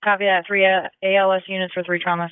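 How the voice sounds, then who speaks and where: A man's voice: high voice, level tone, fast, clear, resonant tone, no room sound. A man working as an emergency dispatcher, speaking in courteous, procedural phrases over a two-way radio. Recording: muffled band-limited radio traffic recording.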